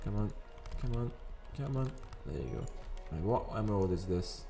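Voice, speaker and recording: A man with a raspy morning voice, chatting casually over gameplay and rambling into sidetracks. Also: lowish voice, animated, moderate pace. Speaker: gaming video host. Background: faint background music.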